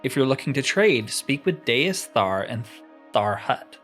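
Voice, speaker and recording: A man's voice: medium pitch, sing-song, a little slow, a bit bright, faint music behind. Man voicing a stern stronghold warrior guard. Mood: stern, warning.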